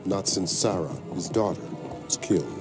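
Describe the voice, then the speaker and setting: Somber American male spymaster, very deep voice, reflective and plain-spoken, game narration over music. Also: animated, moderate pace. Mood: somber, regretful. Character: somber, world-weary older male spymaster, loyal and regretful. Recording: music behind the voice.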